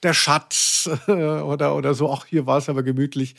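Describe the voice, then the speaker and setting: Higher-voiced man, dry close recording. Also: steady tone, moderate pace, gravelly, fairly bright tone, no echo. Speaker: podcast co-host. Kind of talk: casual chat.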